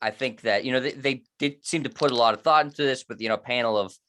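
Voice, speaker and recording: A man's voice: mid-range voice, fast, sharp tone, slight background noise. A man in his thirties hosting a sports podcast, opening the show and then trading easy banter with his co-host. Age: 30s. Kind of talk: casual sports podcast talk.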